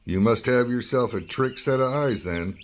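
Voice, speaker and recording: A man's voice: medium pitch, normal speed, slight background noise, natural voice. A man acting in a radio drama as a wry, skeptical investigative reporter, both speaking in scenes and narrating. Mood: skeptical, probing. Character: wry, probing male investigative newspaper reporter.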